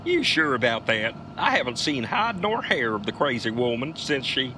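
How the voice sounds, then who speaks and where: An actor playing a cocky, folksy trucker and amateur detective in a tongue-in-cheek audio drama. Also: male voice, mid-range voice, big pitch swings, moderate pace, natural voice. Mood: casual. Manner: cocky, folksy tough talk with flustered stammers. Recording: clear vehicle noise behind.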